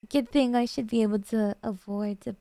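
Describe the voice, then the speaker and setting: Soft, mellow yandere girlfriend, acted by a woman. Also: fairly high pitch, fairly even intonation, a little fast, dry recording, natural voice. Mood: excited, grateful. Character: sweet, possessive, obsessive young woman kidnapper girlfriend. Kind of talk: ASMR roleplay.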